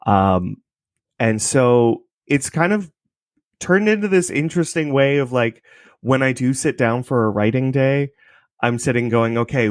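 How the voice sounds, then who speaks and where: A man's voice: mid-range voice, no echo, clean studio sound. A man casually explaining his work as a guest in an interview. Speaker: podcast creator, interview guest.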